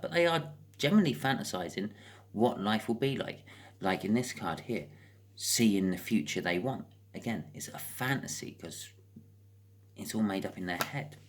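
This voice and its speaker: British tarot reader, chatty and wry. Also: sits low. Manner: chatty, thinking aloud with wry asides. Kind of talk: casual improvised tarot card reading.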